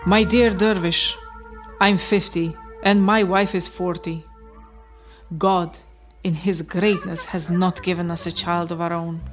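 Someone doing a humble peasant husband, around fifty, radio play, really monotone, bit of music behind. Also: medium pitch, a little slow, natural voice. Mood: resigned, respectful.

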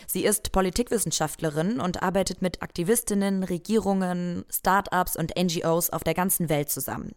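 A woman host reading a scripted podcast introduction in a clear, friendly voice. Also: on the low side, a little fast, few pauses.

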